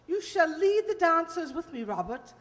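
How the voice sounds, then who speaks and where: A woman plays an imperious, breezy English dowager for a radio play. Her high voice swoops grandly and emphatically, ringing in a reverberant hall. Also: very animated, moderate pace. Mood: breezy, triumphant. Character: rich English dowager, breezy, commanding, delighted. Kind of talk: old-time radio drama acting. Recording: echoey hall, long reverb.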